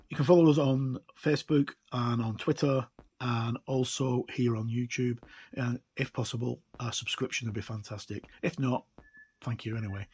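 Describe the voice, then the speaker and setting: A man giving a casual, unscripted product walkthrough as a video reviewer, talking in a halting, conversational way. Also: medium pitch, slightly rough. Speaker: game reviewer and video presenter.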